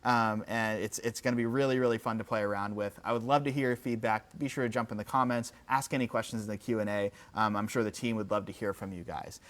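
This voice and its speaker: A man giving a casual live software demo, with an enthusiastic, informal, conversational manner. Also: on the low side, fairly even intonation, fast talker, hardly any pauses. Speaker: company executive presenting a livestream.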